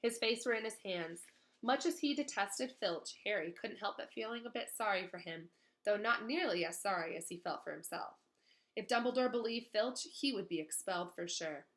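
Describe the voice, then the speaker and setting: A woman reading a children's novel aloud as an expressive storyteller. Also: medium pitch, normal speed.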